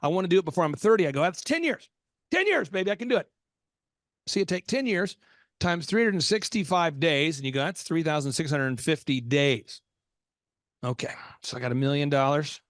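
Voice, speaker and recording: A man with a notably high voice hosts a business podcast, talking in a dry, close-miked sound. He is animated and preachy, hammering on catchphrases and now and then breaking into a shout. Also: brisk, no echo. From Oklahoma. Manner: animated, preachy, repeats punchlines with sudden shouts. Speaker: podcast host. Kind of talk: energetic business podcast talk.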